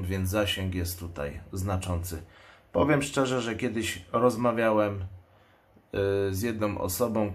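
A man giving an unscripted product presentation in speech, explaining things in a matter-of-fact way. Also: on the low side. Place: indoors at a table.

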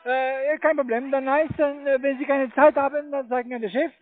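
A German-speaking man, working as a call center agent and giving halting but polite, insistent computer instructions. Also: extremely high pitch, fairly even intonation, no echo. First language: not German. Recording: tinny, band-limited phone line.

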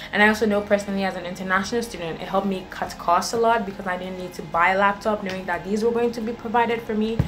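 A woman's voice: mid-range voice, low-quality recording. A young woman from Ghana, a college student, giving an upbeat, earnest testimonial into a webcam. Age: young adult. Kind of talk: scripted testimonial. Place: indoor room.

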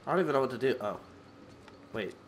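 A man's voice: medium pitch, lively intonation, fast talker, a little hiss or hum. A young man hosting a horror game playthrough, nervous and jittery, with a stuffy, sick-sounding voice on a headset mic. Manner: nervous, jittery, frequent yelps and laughs. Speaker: gaming channel host. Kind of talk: horror game let's play commentary. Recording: game music behind voice.

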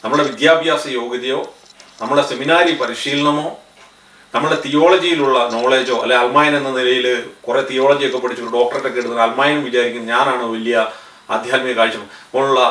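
A man's voice: mid-range voice, brisk, a bit bright, reverberant, far from the mic, slight background noise, low-quality recording. A man in his thirties preaching a sermon to camera in earnest, his voice rising on key phrases. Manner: earnest, gestures with both hands, voice rises on key phrases. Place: studio in front of a backdrop.

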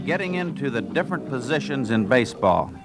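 A man as a matter-of-fact baseball instructor, explaining in a deliberate instructional style. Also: mid-range voice, very animated, over loud music. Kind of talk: instructional talk.

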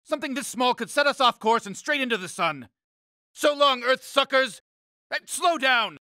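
A man voicing a grumpy, uptight, pompous alien spaceship leader in an animated cartoon game, exasperated and emphatic. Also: very high voice, moderate pace, dry recording, clean studio sound, heavily acted. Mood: urgent, excited.

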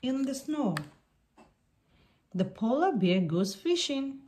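A woman reading a picture book aloud for young children in deliberate, simple sentences. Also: sits high, very animated, darkish tone.

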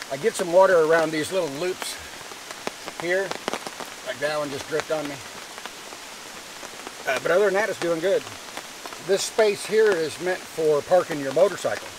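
An American man casually narrating his motorcycle camping trip with dry, rambling humor, outdoors and in a tent. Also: on the high side. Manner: laid-back, dry humor, rambling self-talk. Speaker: moto camping vlogger. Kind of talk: casual vlog narration to camera. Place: tent and campsite outdoors by a creek in the rain. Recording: distant camera mic with rain hiss.

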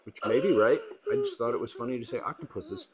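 A man's voice: mid-range voice, wildly swooping pitch, fast, over a noisy crowd, poor audio. A middle-aged man giving casual, playful commentary as he works, muttering to himself and to viewers. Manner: playful mild exclamations, muttering. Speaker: webcomic artist live streaming. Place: small indoor room with shelves. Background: old radio program playing.

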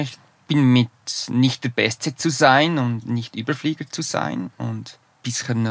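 A man's voice: mid-range voice, vocal fry, no room sound. A man in his forties with a Swiss German accent, a professional alpinist as guest, talking in careful standard German with restarts and tag questions. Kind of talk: casual interview talk.

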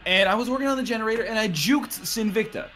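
A man's voice: high voice, quick pace, fairly bright tone, a little hiss or hum. A man bantering on a gaming voice chat, telling stories in a comically aggrieved, ranting way. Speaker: gamer on a group voice chat. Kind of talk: casual banter while playing a video game.

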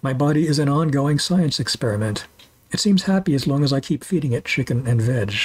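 A man's voice: a little high, darkish tone, husky. A man in his sixties reading a scripted voice-over for a food and walking tour, with dry, wry humor. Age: 60s. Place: voice-over, not recorded on location.

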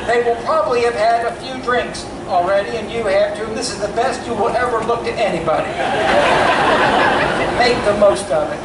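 Kentucky comedian on an echoey stage. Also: male voice, sits high, normal speed. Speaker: stand-up comedian. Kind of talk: stand-up comedy routine. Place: large theater stage. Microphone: handheld mic, filmed from far off. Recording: echoey theater from far off, loud crowd noise.

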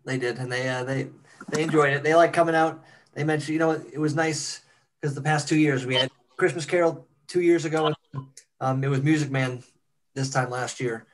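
A man's voice: a little high, fairly even intonation, normal speed, low-quality recording. A man talking casually as a cast member in a group video call. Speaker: cast member of an amateur radio play. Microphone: computer on a video call.

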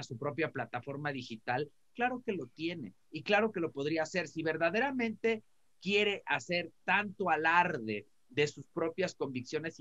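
A man's voice: on the high side, a bit bright. Talk co-host: Mexican man, middle-aged, colloquial, repeats himself while finding words, slightly lo-fi call audio. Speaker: co-host commentator. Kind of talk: casual opinion talk. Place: indoors, on a video call. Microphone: video call.

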